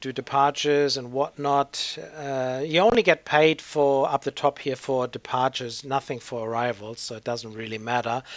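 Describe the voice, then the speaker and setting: A man hosts a casual gameplay session in a fairly high-pitched voice. He thinks aloud in a rambling, hesitant way, feeling his way through each point. The sound is very dry, as if close to the microphone. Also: a bit bright, no echo. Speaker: gaming channel host.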